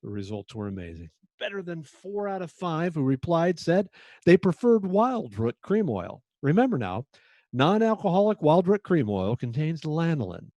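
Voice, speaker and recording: A man acting a vintage radio pitchman, fairly high and mellow, with lively swings of emphasis. The delivery is polished and earnest, dry and close over faint music. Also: moderate pace, no echo, highs cut off. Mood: earnest selling. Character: earnest male sponsor announcer on vintage radio show. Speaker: announcer. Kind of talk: 1940s radio commercial announcing.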